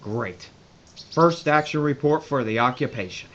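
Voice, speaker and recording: A commanding American army major, blunt and eager for action. The mid-pitched male voice is slightly muffled, with some noise behind it, like a war audio play. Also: lively intonation, measured pace, fairly bright tone. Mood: alarmed, exasperated. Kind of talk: audio drama acting. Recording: slightly muffled, noisy ambient backdrop.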